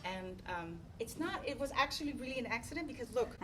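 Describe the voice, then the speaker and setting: A woman's voice: fairly high pitch, expressive, brisk. A woman from the Netherlands speaks to a gathered audience in an upbeat, grateful and playful way. Her voice comes from a distance in the room, with noticeable noise in the background. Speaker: founder and speaker. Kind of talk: speech to an audience. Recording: distant mic in a noisy room.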